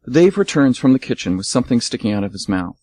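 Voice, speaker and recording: A man narrating a scripted radio play in a calm, measured way. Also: mid-range voice, no echo.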